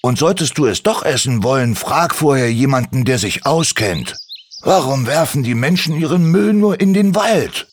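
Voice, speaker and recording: A man's voice: mid-range voice, unhurried, slight background noise, pitched above the performer's own voice, lightly acted. A man voicing a male kobold in a children's story, talking in a stern, lecturing way.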